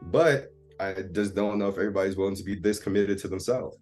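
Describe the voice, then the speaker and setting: A man's voice: fairly low pitch, fast talker, a little mellow, soft background music. A man in his thirties from Jamaica, talking candidly and confidently about himself in a casual therapy conversation. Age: 30s. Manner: candid, self-assured, open. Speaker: therapy client guest.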